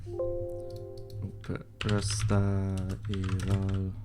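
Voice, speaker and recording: A young man from Sweden, his voice a bit low, chats offhandedly on a livestream while working at his computer. He mumbles, trails off mid-thought and swears quietly under his breath. Keyboard typing and mouse clicks come through behind him. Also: monotone, extremely slow, over loud music. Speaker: livestream host answering chat questions. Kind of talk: casual livestream chat while editing images.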